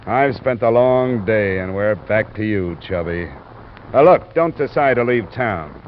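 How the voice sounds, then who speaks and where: An actor playing a world-weary, dogged insurance investigator in a 1950s American radio drama, speaking in a hard-boiled, matter-of-fact way. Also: male voice, fairly low pitch, expressive, unhurried. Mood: pressing. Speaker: lead actor and narrator of a detective radio drama. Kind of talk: 1950s American radio detective acting, clipped narration. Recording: noisy, muffled vintage radio recording.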